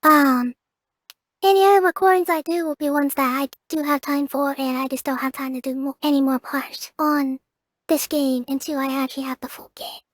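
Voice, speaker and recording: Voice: high voice, steady tone, moderate pace, fairly bright tone, no echo. A teenager hosting a gameplay video with casual, hesitant commentary that often repeats and rephrases.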